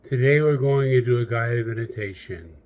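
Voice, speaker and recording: A man leading a guided meditation, speaking to the listener in measured short phrases with long pauses between them. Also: mid-range voice, darkish tone.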